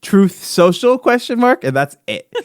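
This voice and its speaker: Animated, higher-pitched young man bantering. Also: measured pace, clear, resonant tone, no room sound, studio quality. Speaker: podcast host. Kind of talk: casual comedic podcast banter.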